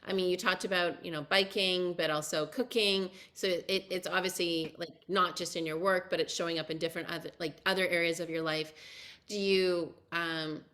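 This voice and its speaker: A woman's voice: fairly low pitch, steady tone, moderate pace, a bit bright. A woman interviewing a guest as a podcast host, chatty and effusive, with long, winding questions. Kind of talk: casual conversational interviewing.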